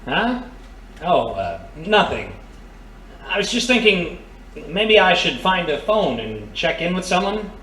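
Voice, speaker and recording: An actor playing a tough, suspicious reformed crook in a period noir radio drama, slangy and agitated. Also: male voice, high-pitched, very animated, slow, fairly bright tone, far from the mic, exaggerated voice. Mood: distracted. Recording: roomy, slightly off-mic.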